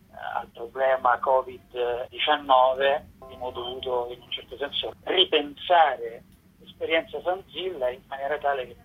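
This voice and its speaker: A narrow, tinny telephone line carries a man's very raspy, mid-pitched voice. He is a festival organiser answering an interviewer, explaining in halting phrases that he builds piece by piece. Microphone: phone call.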